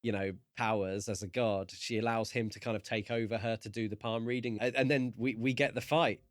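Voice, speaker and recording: A man co-hosting a podcast, talking through a book casually in thoughtful, halting phrases. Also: fairly low pitch, steady tone, no room sound, studio quality. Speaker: podcast co-host.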